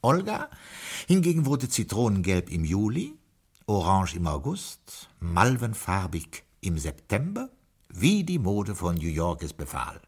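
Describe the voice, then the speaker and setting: An actor playing a proper, deferential butler who also narrates the story to the audience in a 1950s German radio drama. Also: male voice, deep voice, sing-song, unhurried, slightly rough, heavily acted. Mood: narrating. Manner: deferential, hesitant, wry. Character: dry, proper English butler.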